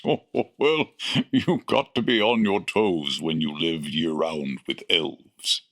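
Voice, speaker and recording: A man's voice: low-pitched, slow pace, a bit bright, full character voice. A man playing a kindly, weary old Santa Claus in a comedic audio drama, with a hesitant, avuncular manner. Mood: helpful.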